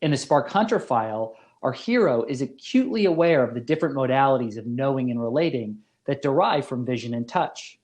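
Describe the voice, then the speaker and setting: A man giving a scripted academic lecture as a philosophy professor, in a measured, formal manner. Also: mid-range voice, expressive, a little mellow.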